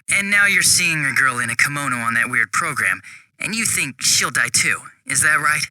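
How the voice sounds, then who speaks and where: An actor playing a smug, bitter young police detective turned gloating killer in a video game, mocking, flippant and theatrical. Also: male voice, on the high side, fairly bright tone, no room sound, clean studio sound. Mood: feigned helpfulness.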